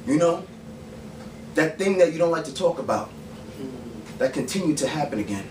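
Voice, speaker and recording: A man, performing dramatic spoken word poetry on stage in a theatrical, pointed manner with deliberate pauses. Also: a little high, lively intonation. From the Bronx, New York, USA. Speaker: spoken word poet and actor. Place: small dim bar stage, indoors. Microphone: far camera mic, stage mic unused beside him. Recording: roomy, distant camera mic with background noise.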